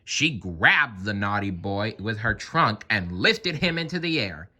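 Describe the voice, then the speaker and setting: A man narrates a children's story aloud. His voice sits a little low but is bright, with expressive, highly varied intonation. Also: measured pace. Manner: expressive, animated. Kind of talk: children's read-aloud narration with playful asides.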